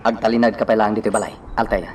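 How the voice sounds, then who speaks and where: An actor playing a protective, steady driver and gunman bodyguard in an Ilocano radio drama. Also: lowish voice, fast, a little hiss or hum, close to an everyday voice. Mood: reassuring. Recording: music clearly behind the voice.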